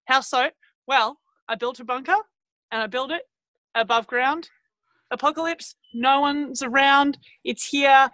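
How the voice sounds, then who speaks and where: A woman's voice: high-pitched, fairly even intonation, measured pace, fairly bright tone, no echo, low-quality recording. A woman playing a cheery, clueless Australian prepper with an Australian accent, speaking chummily on a video call from outdoors. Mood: chummy. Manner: chummy, rambling, calls everyone mate. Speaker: guest. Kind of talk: improvised comedy character.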